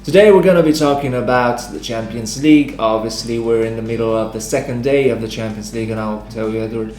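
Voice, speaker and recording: Slightly hoarse guy hosting casual sports talk, echoey room, bit of guitar behind him. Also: mid-range voice, fast talker, a little mellow. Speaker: host. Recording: roomy echo, music underneath.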